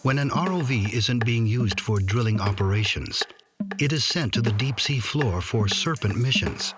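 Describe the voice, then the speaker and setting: A man's voice: mid-range voice, expressive, normal speed. Male documentary narrator over music. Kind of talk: scripted narration. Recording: music bed behind voice-over.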